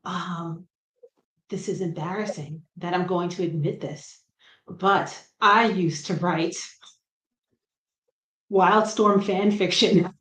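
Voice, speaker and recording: A middle-aged woman from New Jersey with a light Brooklyn accent, a comic writer chatting as a guest, self-deprecating and quick to laugh. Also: lowish voice, steady tone, fairly bright tone. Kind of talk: casual interview chat. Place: room at home. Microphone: video call. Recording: roomy echo on a video call.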